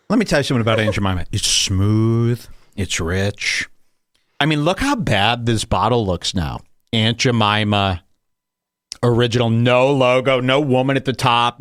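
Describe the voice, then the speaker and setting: A man's voice: mid-range voice, normal speed, a bit bright, no echo, studio quality. A man hosting a podcast, ranting in a loose, provocative comedic way and laughing at his own jokes. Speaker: podcast host. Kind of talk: casual comedic rant.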